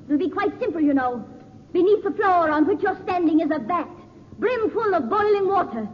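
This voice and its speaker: High-pitched, icy radio villainess. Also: female voice, lively intonation, moderate pace. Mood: cold menace. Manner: imperious, coolly menacing. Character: cold, ruthless murderous female villain giving orders. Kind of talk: 1940s radio drama acting. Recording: muffled, noisy 1940s radio recording, reverberant.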